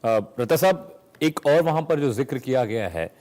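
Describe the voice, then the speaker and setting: A man's voice: medium pitch, lively intonation, normal speed, a little mellow. A man hosting a TV news debate, putting probing questions and repeating them for emphasis. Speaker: TV debate host.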